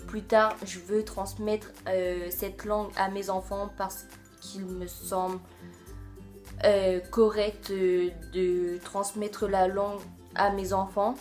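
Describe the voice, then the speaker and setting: Young French woman, casual vlogger, halting chatty delivery, faint music underneath. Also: mid-range voice, a little mellow. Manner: chatty, many fillers and hesitations. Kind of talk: casual video chat.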